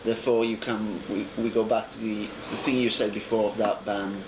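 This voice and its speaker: Halting male interviewer on old tape. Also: fairly low pitch, level tone. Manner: halting, restarts and trailing sentences. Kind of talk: casual interview chat. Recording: echoey room, noisy old tape.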